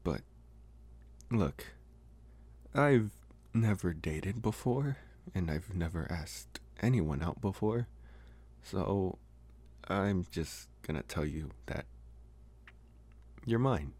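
Man playing a very deep, halting young vampire. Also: lively intonation, a little mellow, husky, full character voice. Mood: awkward, earnest. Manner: halting, hesitant, trying to sound intimidating. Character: socially awkward young male college vampire, possessive yet earnest. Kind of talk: ASMR roleplay, intimate monologue to the listener.

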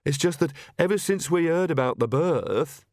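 A man's voice: a little high, normal speed, a little mellow, dry recording, studio quality, pitched above the performer's own voice, natural voice. A man voicing a bookish young woman witch in an audiobook, speaking in an earnest, hesitant manner.

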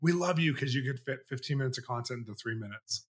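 A man hosting an interview show, reading a scripted intro and then chatting quickly and enthusiastically with guests. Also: mid-range voice, husky. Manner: fast-talking, enthusiastic. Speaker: podcast host.

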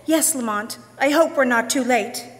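A woman's voice: high-pitched, moderate pace, close to an everyday voice. A woman playing a sympathetic, dismayed lady sleuth's companion in a live radio drama. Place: church hall stage. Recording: echoey hall, crowd noise behind.

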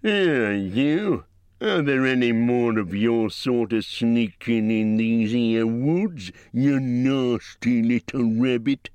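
A man doing a slow, bullying troll. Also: mid-range voice, a little mellow, no room sound, pitched above the performer's own voice, close to an everyday voice. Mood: menacing. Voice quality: coarse, bullying, rough slang. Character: quarrelsome, suspicious male troll.

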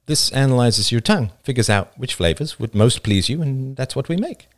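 A female robot waitress who explains the menu plainly and matter-of-factly, voiced by a man. The voice is raised and animated, with a dry, close sound. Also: mid-range voice, normal speed, no echo, voice pushed up, natural voice. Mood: explaining.

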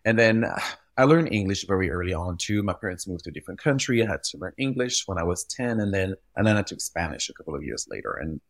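Guy, really low voice, dry sound, talks animated and stumbles over words a bit. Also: fast, dry recording. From Belgium. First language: French. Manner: animated, repeats words while gathering thoughts. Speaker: guest, retail visual expert. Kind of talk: conversational podcast interview.

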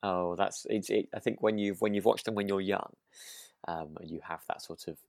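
A man's voice: low voice, fairly even intonation, moderate pace, vocal fry, darkish tone, dry recording. A British man co-hosting a podcast in casual chat, agreeable and often breaking off to rephrase himself. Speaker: podcast co-host. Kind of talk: casual chat discussing a radio drama.

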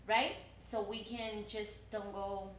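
A woman's voice: medium pitch, level tone, slow pace, roomy sound, slight background noise. A woman in her twenties from Cuba, speaking English with a Cuban Spanish accent in a casual chat, often repeating words as she starts a thought. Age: 20s. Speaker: journalism student guest.

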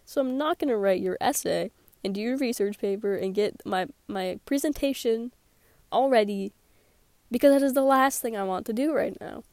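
A woman's voice: on the high side, normal speed, darkish tone, dry recording. Sick teenage girl podcasting from a closet. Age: teens. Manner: sarcastic, rambling, laughs often. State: sick with a sore throat. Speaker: podcast host. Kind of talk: casual solo podcast chat. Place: small closet.

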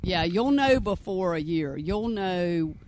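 Garden panel guy, calm slow tips, bit of a higher voice. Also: slight background noise. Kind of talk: casual advice chat.

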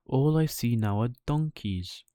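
A man's voice: mid-range voice, expressive, measured pace, mellow, smooth, no room sound, studio quality, lightly acted. A man voicing a naive, earnest young wooden puppet boy in a children's bedtime story, often pleading. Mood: puzzled, earnest.

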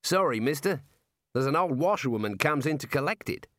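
A man's voice: fairly high pitch, expressive, brisk, fairly bright tone, no echo, studio quality, voice pushed up. Man voicing a cheerful prison warder. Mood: cheerful, obliging. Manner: folksy colloquial Australian-style slang. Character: cheerful, matter-of-fact male prison warder, chatty and obliging. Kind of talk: comic audiobook character voice.

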